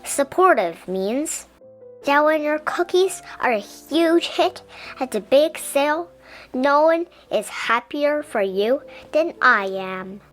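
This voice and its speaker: A woman reading a children's picture book aloud in a gentle, deliberate, expressive way. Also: high voice, faint music behind. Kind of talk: children's storybook read-aloud.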